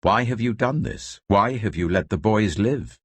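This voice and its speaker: Man voicing a deep, stern Egyptian king. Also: expressive, a little slow. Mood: stern, commanding. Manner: commanding. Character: stern, murderous king of Egypt giving orders.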